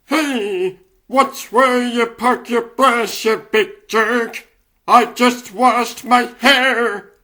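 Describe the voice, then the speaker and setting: A little cartoon creature, indignant and bossy. The voice is raised high and bright, scolding in very slow, drawn-out words. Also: male voice, fairly even intonation, full character voice. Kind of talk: cartoon comic-book dub.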